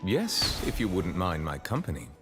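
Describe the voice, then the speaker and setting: A man playing a dignified, reserved high official in a video game, speaking formally and politely in measured phrases. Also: huge pitch swings, over music. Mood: courteous. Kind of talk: video game character acting.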